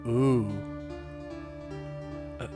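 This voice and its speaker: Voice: extremely slow, mellow, soft background music. A let's play co-host chatting casually while trying out a game. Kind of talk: casual gaming chat.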